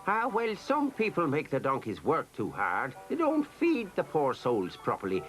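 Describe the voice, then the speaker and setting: Old Irish storyteller: playful, lilting, very animated pitch, faint music bed. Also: male voice, moderate pace. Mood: reassuring. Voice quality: lilting, playful, spins tall tales. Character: kindly teasing old Irishman, a donkey keeper. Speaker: storyteller character. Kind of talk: children's drama acting.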